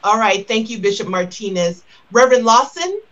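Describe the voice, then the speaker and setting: A woman moderating a panel on a video call, brisk and friendly as she introduces each speaker. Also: a little high, animated, a bit bright. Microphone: video call webcam. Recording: dull video call audio.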